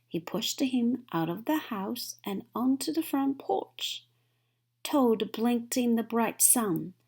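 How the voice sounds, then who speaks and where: A woman's voice: fairly high pitch, expressive, slow, darkish tone, breathy edge. A woman narrating a children's story from a book, as a read-aloud. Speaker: storybook reader.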